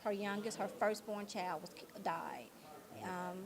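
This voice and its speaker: A woman's voice: medium pitch, measured pace. A woman speaking earnestly and emphatically as an interviewed actress. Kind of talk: interview talk. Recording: crowd chatter behind the voice.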